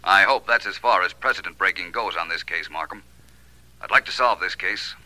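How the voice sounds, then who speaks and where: An actor playing a suave, unflappable private detective in a 1940s radio drama, speaking with polished, dry understatement. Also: male voice, sits low, fairly quick, bright, forceful tone, rough recording. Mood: brisk, serious.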